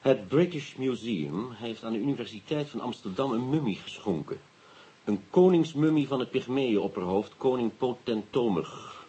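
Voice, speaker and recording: An actor playing a blunt, probing Dutch detective in a radio drama, with a very husky, rough voice and a wry, direct manner. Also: male voice, mid-range voice, expressive, normal speed, dark tone, muffled recording. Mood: earnest.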